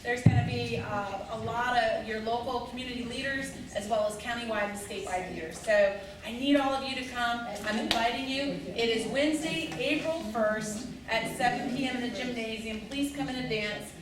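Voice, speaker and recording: A woman's voice: a little high, steady tone, moderate pace, hardly any pauses, crowd noise. Upbeat woman announcing in echoey hall. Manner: upbeat, persuasive invitation. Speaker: campaign manager. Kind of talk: announcement at a community meeting. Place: community center room. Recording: echoey room, far from the mic, room noise.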